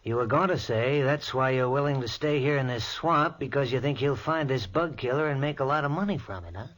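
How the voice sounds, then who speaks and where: A man's voice: medium pitch, a little fast, a bit bright, dull audio, close to an everyday voice. An actor in a 1940s radio drama playing a brash, slangy drifter posing as a handyman, pushy and cocky. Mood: pressing.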